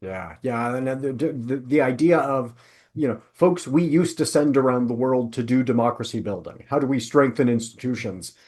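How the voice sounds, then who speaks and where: A man's voice: medium pitch, normal speed, darkish tone. A man hosting a casual panel interview, speaking in an affirming way with hesitant, searching phrasing. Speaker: podcast host.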